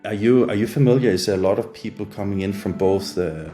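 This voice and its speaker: A man with a Danish accent, a native Danish speaker, interviewing a guest remotely, halting with many filler uhs. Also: lowish voice, mellow, faint music behind. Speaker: interviewer, trade media editor.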